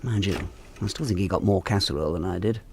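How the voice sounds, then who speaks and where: An English actor playing a bewildered, petulant husband in a radio drama, spluttering and aggrieved. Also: male voice, low voice, fast talker, a little hiss or hum. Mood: sulky, aggrieved.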